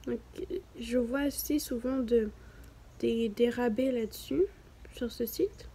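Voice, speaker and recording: A woman's voice: on the high side, fairly even intonation, takes it slowly, warm tone, slightly rough. A young woman with a southern French accent, chatting casually to camera at home in a rambling, self-mocking way. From southern France. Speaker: beginner vlogger.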